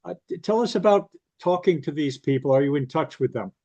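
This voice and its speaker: A man hosting an interview who explains background to the viewers before asking his guest questions. He fills his pauses with "uh" and "um". His voice comes through slightly dull, lo-fi audio. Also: fairly high pitch, animated, warm tone, breathy edge. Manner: explains context for viewers, filler-laden. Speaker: interview host.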